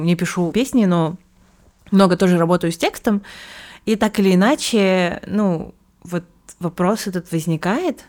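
A woman's voice: on the low side, darkish tone. A woman interviewer, asking her guest questions in careful, hesitant phrasing.

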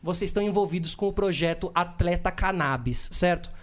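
A man's voice: sits high, fairly quick. A Brazilian man hosting a casual podcast interview, chatty and upbeat. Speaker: podcast host.

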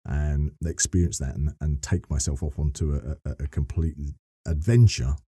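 A middle-aged man with a very low, dark and slightly husky voice talks on a podcast. He explains things earnestly and at length, often rephrasing as he goes. The sound is close and dry. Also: steady tone, moderate pace, no room sound. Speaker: podcast guest, voice actor and narrator.

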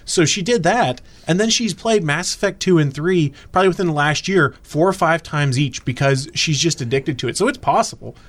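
Fast-talking man telling a jokey story. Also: a little high, expressive, a bit bright. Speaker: panelist. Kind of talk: casual group chat.

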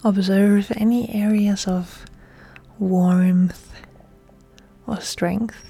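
A woman yoga instructor leading a guided relaxation in a soft, breathy, soothing, hushed voice that often nears a whisper. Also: medium pitch, fairly even intonation, drawn-out words, faint music behind.

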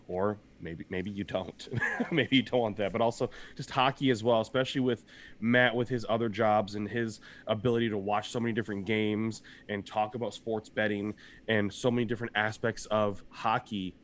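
A young man co-hosting a podcast, his voice a little raspy from shouting, talking in an upbeat, rambling way and laughing often. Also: lowish voice. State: slightly hoarse from shouting. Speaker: podcast co-host. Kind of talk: casual podcast chat.

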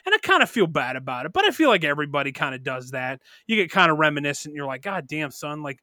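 A man's voice: fairly high pitch, fast, no room sound, studio quality. A man in his early thirties co-hosting a podcast, rambling and swearing in loose casual chat. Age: early 30s. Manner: profane, rambling, animated. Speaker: podcast co-host.

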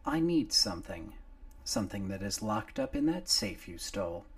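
A man's voice: on the low side, animated, normal speed, far from the mic. A man voicing a cool, terse female killer who speaks in a plain, clipped way.

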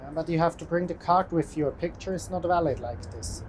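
Over clear train noise, a conductor speaks in a medium-pitched voice with a Swiss German accent. The talk is casual and practical, like one colleague explaining the job to another. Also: brisk. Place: aboard a moving passenger train. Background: train rumble and vehicle noise.

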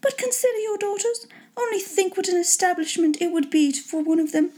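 A woman voicing a fussy, excitable middle-aged wife and mother in a storybook reading, gushing, pleading and impatient. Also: extremely high pitch, a little fast, pitched above the performer's own voice. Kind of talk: storybook character voice in an audiobook reading.

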